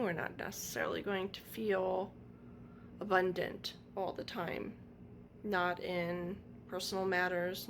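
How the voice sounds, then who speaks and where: A woman vlogger losing her voice, talking slowly and reflectively with many pauses. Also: mid-range voice, vocal fry, noisy background. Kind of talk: casual vlog chat.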